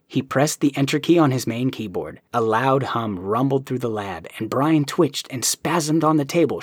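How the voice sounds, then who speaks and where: A man narrating an audiobook in an even storytelling manner. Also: mid-range voice, slightly rough, no room sound, studio quality.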